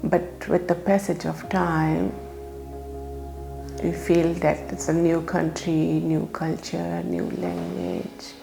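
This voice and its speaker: A woman from Pakistan, speaking haltingly and hesitantly in a personal interview testimony. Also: sits low, little pitch movement, creaky. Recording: echoey room with loud music behind.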